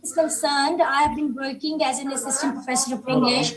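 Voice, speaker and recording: A woman from Pakistan, Urdu her first language, speaks in a high voice as a formal event moderator, pausing often on fillers. She sounds distant and roomy on a video call, with a crowd murmuring behind her. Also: steady tone. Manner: polite, formal, hesitant with many fillers.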